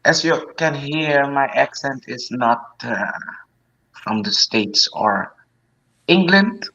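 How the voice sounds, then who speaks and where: A woman's voice: a bass voice, lively intonation, slow, fairly bright tone, dull audio. A woman with a Dutch accent, a native Dutch speaker, chatting casually as a guest and laughing between short replies. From Netherlands. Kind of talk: casual chat.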